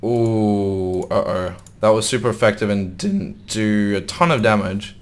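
A man's voice: fairly low pitch, a little slow. A young man with a slightly sore voice, casually commenting on his gameplay and thinking aloud as he plays. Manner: thinks aloud, wondering and muttering to himself. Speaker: gaming YouTuber.

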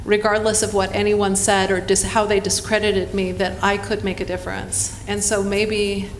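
Woman on a panel, searching for words, mid pitch, echoey hall, bit of background hiss. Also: little pitch movement. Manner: halting, searching, earnest. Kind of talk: personal reflection on a panel. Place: hotel conference room. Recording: roomy hall with faint noise.

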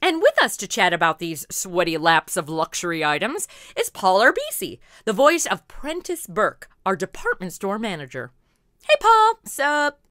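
A woman's voice: mid-range voice, huge pitch swings, normal speed, fairly bright tone, no room sound, clean studio sound. An American woman with a perky, chatty, slangy manner, hosting a scripted, playful podcast interview. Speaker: podcast host.

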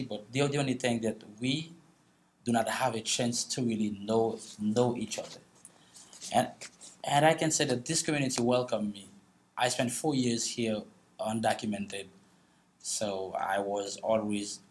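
Haitian man telling his story. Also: medium pitch. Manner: halting, many restarts, laughs often. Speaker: guest speaker, author. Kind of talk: storytelling on a panel. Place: large meeting room, seated on stage.

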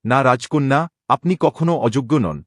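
A man plays a young prince in disguise in a cartoon drama, with a mid-pitched voice in a dry recording. He speaks gravely and formally, respectful yet weighed down. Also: expressive, normal speed, dry recording, close to an everyday voice. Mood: respectful, reluctant. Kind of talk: dramatic Bengali animated story dialogue.

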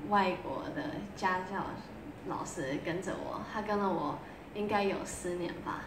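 A young woman being interviewed as a vocal student, answering softly in a casual way with brief fillers. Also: on the low side, moderate pace, background noise. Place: living room, on a sofa. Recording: echoey room with music behind.

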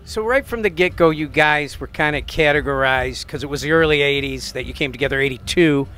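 A man's voice: fairly high pitch, a little fast, a bit bright. A man from Metro Detroit interviewing a musician, asking long, rambling questions full of fillers into a handheld mic in an indoor room. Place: indoor venue backstage room.

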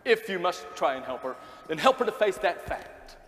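A man's voice: sits high, sing-song, normal speed, fairly bright tone, a little hiss or hum. A man playing a stern, pompous rector, echoey stage. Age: middle-aged. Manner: pompous, clipped, emphatic. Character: stern, proud, indignant church rector. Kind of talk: stage play acting. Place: theatre stage. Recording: echoey theatre, picked up live on stage.

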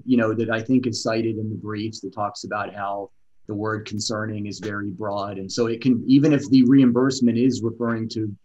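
A man's voice: fairly low pitch, a little mellow, rough recording. A middle-aged man serving as a judge, questioning an attorney at oral argument in a probing, conversational way. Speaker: appellate judge. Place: courtroom bench, indoors.

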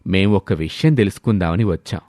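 A man's voice: sits low, animated, fast, a little mellow, no room sound, clean studio sound. A man voicing an elderly grandfather in a story, suspicious and demanding, with confrontational, insistent questioning. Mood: stern, demanding.

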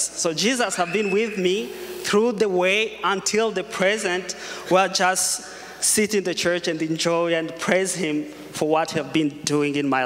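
A man's voice: sits high, a bit bright. A young man from Rwanda giving a hesitant, plain-spoken personal testimony on a church stage with a handheld mic. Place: large church sanctuary, on stage. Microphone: handheld microphone. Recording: long hall reverb, faint noise.

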